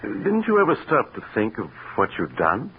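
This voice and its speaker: A man's voice: lowish voice, huge pitch swings, normal speed. A male actor playing a grave doctor listening to an old friend, in 1940s radio drama style. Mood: grave, questioning. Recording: tinny, noisy 1940s radio recording.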